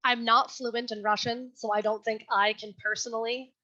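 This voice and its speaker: A young woman reading her poem slowly and with care, then answering questions in an earnest, chatty way over a video call. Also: on the high side, little pitch movement, bright, forceful tone. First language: English. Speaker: poet reading her work. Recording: dull lo-fi video call audio.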